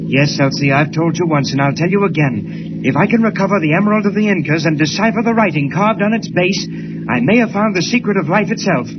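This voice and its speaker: An actor playing an obsessed, excitable older doctor, urgent and melodramatic, in a 1940s radio drama. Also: male voice, high-pitched, fast, close to an everyday voice. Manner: urgent, melodramatic, repeats himself. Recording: muffled noisy old radio recording.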